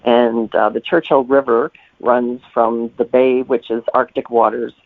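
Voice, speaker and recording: Creaky-voiced man, dry lo-fi audio. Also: medium pitch, steady tone, a little slow, no room sound. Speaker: guest novelist. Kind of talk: conversational podcast interview. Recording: dry, slightly dull lo-fi audio.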